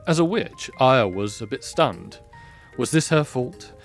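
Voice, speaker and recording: A man narrating a scripted fantasy audio drama in a measured, expressive storybook style. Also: medium pitch, vocal fry. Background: music.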